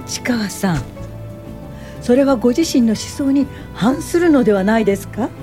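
A woman's voice: on the high side, animated, normal speed, warm tone, faint music behind, lightly acted. A woman acting in a Japanese radio drama as a composed, earnest and determined welfare pioneer, speaking articulately. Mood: earnest, resolute.

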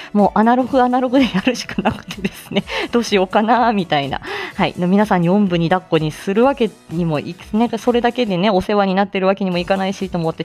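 A woman's voice: medium pitch, darkish tone. A woman hosting a casual live chat with announcements, talking in a polite, flustered, giggly and self-deprecating way. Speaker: livestream host.

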